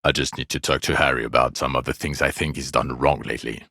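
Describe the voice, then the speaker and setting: Jaded male detective, extremely low voice, dry studio sound, sarcastic, exasperated, foul-mouthed, video game character. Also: fairly even intonation, quick pace, gravelly, pushed and bright, no room sound, full character voice. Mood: weary, reproachful.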